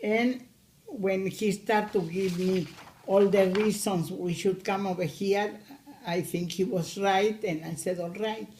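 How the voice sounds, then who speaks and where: An elderly woman from Argentina speaks with an Argentine Spanish accent. Her delivery is slow and unhurried, with plenty of pauses and hesitations. Her pitch sits in the middle of the range. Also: steady tone, darkish tone, rough recording. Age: 80s. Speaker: grandmother interviewee. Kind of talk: recorded family interview.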